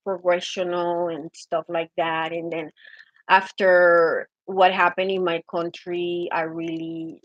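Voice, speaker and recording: A woman's voice: on the low side, no room sound, highs cut off. A middle-aged woman from Venezuela with a Venezuelan Spanish accent, telling her own story with many fillers and restarts. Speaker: interviewee. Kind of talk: personal account of her country.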